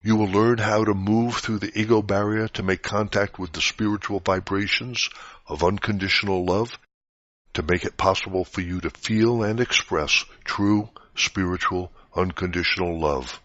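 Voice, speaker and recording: A man reading a scripted spiritual teaching aloud in a measured, didactic way. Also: low-pitched, fairly even intonation, fairly bright tone. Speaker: reader of spiritual articles. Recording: dull, roomy lo-fi recording.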